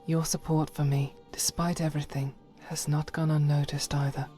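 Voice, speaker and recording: A woman playing a devout warrior in a game mod, earnest and solemn, with halting formal phrasing and breathy whispers. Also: low-pitched, flat delivery, warm tone, soft background music, natural voice. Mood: resolute, grateful. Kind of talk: dramatic video game mod voice acting.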